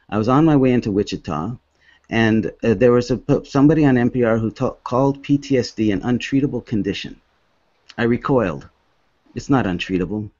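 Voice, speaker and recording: Low-voiced, halting man. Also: a little mellow, no room sound. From Hong Kong. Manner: halting, earnest, many restarts. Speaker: author giving a talk. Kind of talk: presentation with slides. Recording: dull, dry lo-fi recording.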